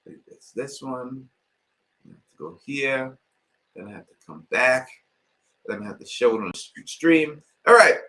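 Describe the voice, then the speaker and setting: A man's voice: medium pitch, expressive, moderate pace, pauses often, bright, forceful tone, poor audio. A middle-aged man from Queens hosts a casual live-stream Q&A, rambling and leaning on filler phrases. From Queens, New York.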